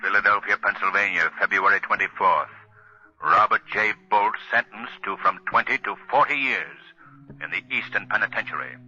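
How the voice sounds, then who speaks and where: Male, menacing mocking avenger: very sharp, husky, deliberate delivery, old muffled radio drama recording. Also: on the low side. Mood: ominous, grim. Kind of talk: 1940s radio acting, ominous proclamation. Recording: dull 1940s radio recording, music behind.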